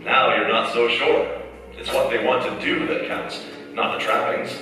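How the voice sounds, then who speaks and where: Man acting a bright, forceful radio-play narrator. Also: mid-range voice, level tone, measured pace, faint music behind. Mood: probing, dramatic. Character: probing second-person narrator, an inner conscience. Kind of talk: dramatic 1940s radio narration. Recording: echoey hall, far mic, faint noise.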